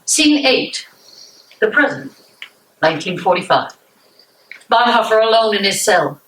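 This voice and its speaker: A woman's voice: mid-range voice, very animated, measured pace, fairly bright tone. A woman narrates stage directions from a script with strongly varied intonation. She sounds some way from the microphone, in an echoey room with faint noise. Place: roomy indoor hall, off mic. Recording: echoey, distant mic, noisy room.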